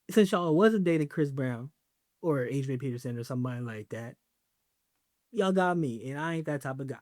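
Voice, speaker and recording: A man's voice: on the high side, darkish tone, no echo, studio quality. A young man hosting his own podcast, talking casually in a loose, joking way with lots of slang and fillers. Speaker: podcast host. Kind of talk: casual solo podcast talk.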